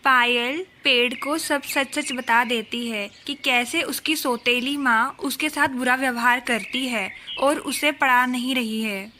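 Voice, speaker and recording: A woman's voice: high-pitched, measured pace, hardly any pauses, pushed and bright, a little hiss or hum. A woman reading a scripted children's story as its narrator.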